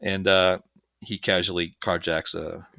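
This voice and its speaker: Podcast co-host, male, low voice, sarcastic joker. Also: little pitch movement, a little slow, gravelly, bright, forceful tone, no room sound, clean studio sound. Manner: jokey, sarcastic, chuckling often. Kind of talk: casual movie recap chat.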